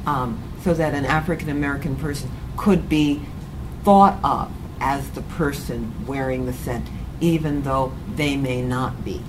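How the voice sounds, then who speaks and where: A woman facilitating a community meeting on a handheld mic, speaking gently and haltingly as she searches for words. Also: a bass voice, noisy background. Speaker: group facilitator. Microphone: handheld microphone.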